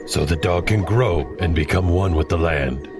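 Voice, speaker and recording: A man's voice: low-pitched, lightly acted. An actor playing a wise elder man who teaches a young girl patiently, with music behind. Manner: slow, patient, instructive.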